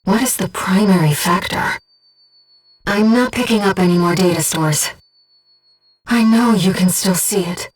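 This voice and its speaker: A woman voicing an earnest, gentle guide who speaks inside a pilot's head in a mecha video game, in measured scripted delivery. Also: medium pitch, husky, distant mic. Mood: earnest, reflective. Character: soft-spoken, earnest female voice in a pilot's head, loyal guide. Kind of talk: scripted video game voice acting, tactical callouts.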